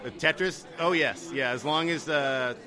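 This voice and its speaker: A man chatting casually as a podcast guest, with a hesitant, halting delivery. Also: fairly high pitch. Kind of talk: casual chat. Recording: busy crowd noise around the voice.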